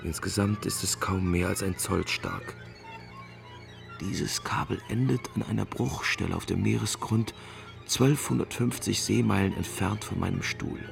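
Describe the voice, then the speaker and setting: Solitary telegraph man, measured and heavily gravelled, radio drama with music behind. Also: sits low, exaggerated voice. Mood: brooding. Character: solitary man manning a remote telegraph house, waiting. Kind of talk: literary monologue in a radio play. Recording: music under the voice.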